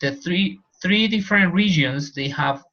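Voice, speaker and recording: A man's voice: high voice, unhurried, fairly bright tone. Colombian guy teacher on a video call, explains stuff and repeats key words, kinda muffled lo-fi sound. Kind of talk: online class lecture.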